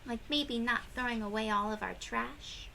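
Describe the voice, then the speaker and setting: A woman reading a picture book aloud to young children, with expressive emphasis and questions to her listeners. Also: on the high side, moderate pace, gravelly, a little hiss or hum, rough recording. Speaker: children's read-aloud host.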